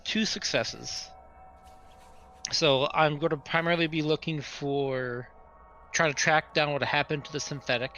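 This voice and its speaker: A man's voice: a little high, steady tone, unhurried, bright, forceful tone, soft background music, poor audio. A man chatting casually as a tabletop game player, tentatively thinking aloud about his next move.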